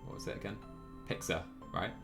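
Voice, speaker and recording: A man live-streaming a game, chatting casually and a little apologetically as he thinks aloud. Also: a little fast. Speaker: live streamer playing a cycling management game. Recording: music playing behind the voice. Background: background music.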